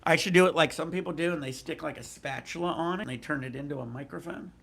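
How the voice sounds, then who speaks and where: A man's voice: fairly high pitch, fast, creaky, fairly bright tone, slight background noise. A man with a stuffy, congested nose, livestreaming outdoors on a cruise ship at night through a handheld mic, chatting in a laid-back, profane, joking way. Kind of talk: casual live stream chat. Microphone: handheld microphone.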